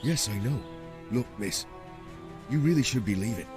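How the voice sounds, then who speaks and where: An actor as a pragmatic male bandit boss in a fantasy game. He has a mid-pitched, very expressive delivery, firm and commanding, tired of squabbles. Music sits behind the voice. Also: moderate pace, close to an everyday voice. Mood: measured, firm. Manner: firm, authoritative, weary of squabbling. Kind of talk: video game voice acting.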